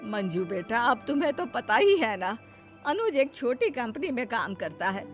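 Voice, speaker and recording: Woman playing a patient, coaxing mother-in-law, highish voice. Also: big pitch swings, a little slow, faint music behind. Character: gentle, patient, long-suffering middle-aged mother-in-law. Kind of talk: Hindi cartoon storybook acting.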